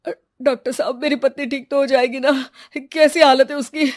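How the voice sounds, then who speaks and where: A woman's voice: high voice, fairly even intonation, normal speed, pitched below the performer's own voice, close to an everyday voice. A woman voicing an anxious, hesitant adult man, a son and husband in a family drama. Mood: anxious, agitated. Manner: hesitant, agitated.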